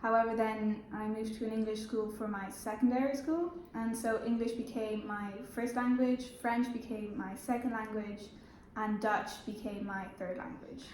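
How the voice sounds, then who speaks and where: A young woman from Belgium talking casually in an interview, relaxed and matter-of-fact, with frequent fillers. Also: a little high, moderate pace, few pauses, echoey room, slight background noise, low-quality recording. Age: young adult.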